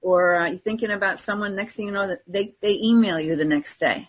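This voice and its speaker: Woman, husky slightly rough voice, casual podcast chat, anecdotal, conversational storytelling. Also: mid-range voice, a little fast.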